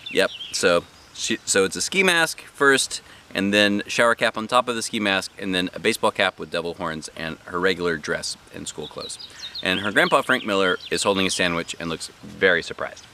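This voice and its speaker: A man hosting a read-aloud, narrating a children's storybook in an animated style. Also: low-pitched, normal speed, noisy background.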